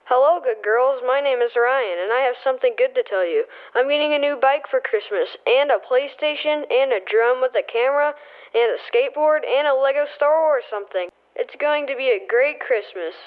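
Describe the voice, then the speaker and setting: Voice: high-pitched, a little fast. A young performer playing an eager boy caller in a radio play, over a telephone line. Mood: excited. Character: excited young boy listing his Christmas presents. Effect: telephone filter. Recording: narrow tinny telephone line.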